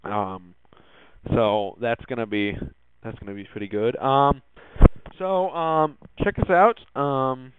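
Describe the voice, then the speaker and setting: A man hosting a podcast in a casual, hesitant way, often halting and repeating his words. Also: mid-range voice. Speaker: podcast host. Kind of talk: casual solo tech podcast talk. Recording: muffled, phone-like narrow-band audio.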